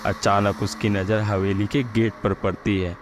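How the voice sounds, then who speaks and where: A man's voice: lowish voice, level tone, measured pace, background noise. A man working as a storyteller, reading a scripted horror story narration.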